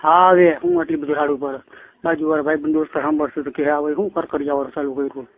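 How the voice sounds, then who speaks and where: A man's voice: a little high, quick pace. A man with a Kathiawari Gujarati accent, chatting casually and privately on the phone. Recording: tinny phone call recording.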